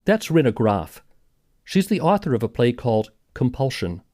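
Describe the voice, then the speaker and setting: A man's voice: mid-range voice, very animated, moderate pace, dark tone. A man hosting a podcast, reading scripted documentary narration. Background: music.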